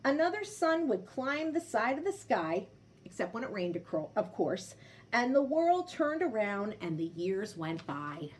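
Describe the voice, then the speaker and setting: A woman in her thirties reading a storybook aloud to children with an expressive delivery. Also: a little high, a little slow, slight background noise. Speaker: storybook reader.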